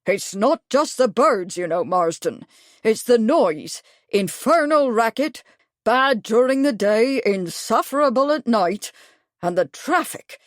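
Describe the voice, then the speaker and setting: A woman's voice: medium pitch, animated, measured pace, a little mellow, no room sound, close to an everyday voice. Fussy old military man, pompous and long-winded, read by a woman narrator in a dry studio. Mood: indignant. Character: fussy older male retired major, pompous.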